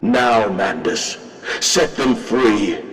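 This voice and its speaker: An actor playing a brooding, messianic machine god in a horror game, with solemn, incantatory delivery. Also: male voice, on the low side, extremely slow, a bit bright. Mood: fervent, exultant. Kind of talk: theatrical horror game monologue. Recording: distant voice in long echoing hall.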